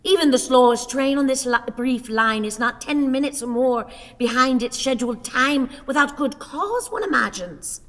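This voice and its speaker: An actress playing a querulous, sickly old wife, declaiming with grand indignation and dramatic pauses in a radio play. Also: female voice, high voice, animated. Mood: indignant. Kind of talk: stage radio play acting. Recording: long hall reverb, theatre sound.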